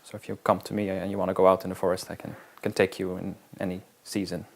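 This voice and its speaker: A man, a wilderness guide, talking in a casual interview in a halting, reflective way with frequent restarts. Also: low voice, flat delivery, creaky. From Netherlands.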